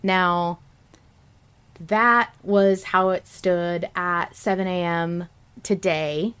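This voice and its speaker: A woman's voice: on the low side, steady tone, very slow, a bit bright, clear, resonant tone. Woman hosting a casual podcast. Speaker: podcast host.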